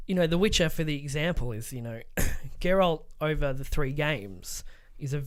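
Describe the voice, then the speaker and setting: A man's voice: on the high side, animated, moderate pace. A young man co-hosting a podcast, chatting casually in a blunt, emphatic way and swearing freely. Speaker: podcast co-host. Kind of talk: casual opinionated chat, swearing.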